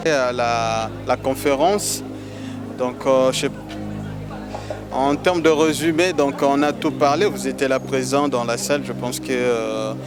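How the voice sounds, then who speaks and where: Man with a Congolese French accent, halting delivery, lots of "euh", mid-pitched voice, steady music playing behind. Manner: hesitant, many filler sounds and word repeats. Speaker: film festival organizer. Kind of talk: interview answers.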